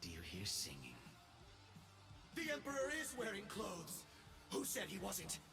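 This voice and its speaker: Megalomaniac emperor, wildly swooping high voice. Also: male voice, huge pitch swings, a little slow, creaky, distant mic, soft background music, heavily acted. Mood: scornful, imperious. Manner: grandiose, arrogant, swinging between shouts and hushed menace. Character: megalomaniac young self-crowned space emperor, gloating and unhinged. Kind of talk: theatrical video game voice acting.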